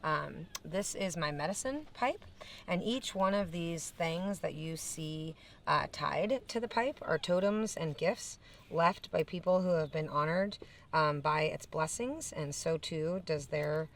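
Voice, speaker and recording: A woman explaining her ceremonial items calmly, with frequent fillers. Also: low voice, unhurried, a little hiss or hum. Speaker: medicine woman. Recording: voice heard from a distance.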